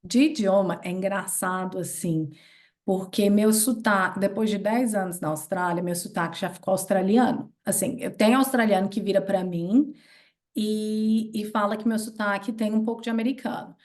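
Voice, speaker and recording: A Brazilian woman in her thirties with a Brazilian Portuguese accent, a guest chatting casually and telling stories in an animated way. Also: normal speed. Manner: chatty and animated, playful jumps in pitch when retelling. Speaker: interview guest. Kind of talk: casual storytelling chat.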